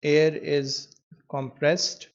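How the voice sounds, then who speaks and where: A man tutoring in physics, explaining an exam question in a measured way with pauses as he writes. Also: on the high side, little pitch movement. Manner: measured, pausing while writing out answers. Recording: dull lo-fi microphone sound.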